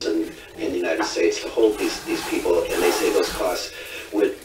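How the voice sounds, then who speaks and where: Low-voiced talk radio host, far off. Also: male voice, fairly even intonation, moderate pace, echoey room. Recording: radio heard from across a noisy room.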